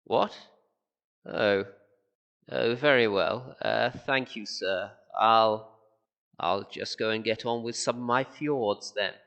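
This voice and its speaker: An actor playing a meek, halting old male planet designer in an amateur audio drama, precious about his art. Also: medium pitch, gravelly, fairly bright tone, lo-fi sound.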